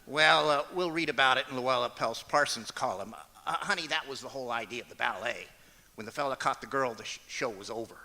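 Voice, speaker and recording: A man's voice: mid-range voice, fairly quick, pushed and bright. A man playing a wry, patient straight-man husband with a hesitant, stammering delivery in old-time radio comedy. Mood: dry, hesitant. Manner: hesitant, dry, punctuated with stammers. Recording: echoey auditorium, noticeable noise.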